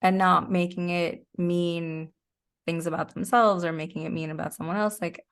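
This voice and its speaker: A woman's voice: lowish voice, steady tone, normal speed, clear, resonant tone. A middle-aged woman as an interview guest, explaining her points conversationally in a thoughtful, step-by-step way.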